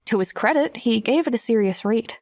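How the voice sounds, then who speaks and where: A woman's voice: on the high side, animated, a little fast, a little mellow, no room sound, clean studio sound. An actress playing a wry, self-conscious teenage girl narrating her own story in an audiobook. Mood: embarrassed, wry. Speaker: lead narrator. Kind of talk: first-person audiobook narration.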